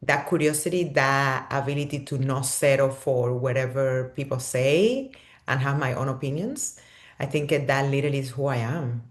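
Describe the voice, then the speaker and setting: A woman from Cuba with a Cuban Spanish accent, a podcast guest telling her story in an expressive, animated way and laughing often. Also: a bass voice, moderate pace. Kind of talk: podcast interview.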